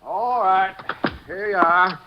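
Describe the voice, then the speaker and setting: An actor playing a folksy small-town Texas cafe owner in a 1950s radio drama, with a Texan accent. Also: male voice, high-pitched, slow. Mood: teasing. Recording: noisy, muffled old-time radio recording.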